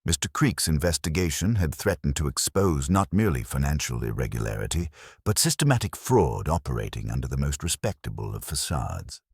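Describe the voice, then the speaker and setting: A man with an extremely deep voice narrates a mystery story. His delivery is formal, measured and precise, yet the pitch rises and falls in lively sweeps. The sound is close and dry. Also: slightly rough, no room sound. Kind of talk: scripted audio mystery narration, formal literary.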